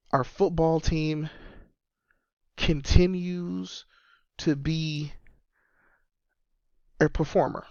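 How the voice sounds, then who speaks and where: A man in his thirties with a slightly high voice rambles through a casual solo sports podcast, hammering home each point by saying it again. The audio is dull and lo-fi, with a dry, close sound and no room around it. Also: a little mellow, no room sound. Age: 30s. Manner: emphatic, repeats points for stress. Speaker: podcast host and sports fan.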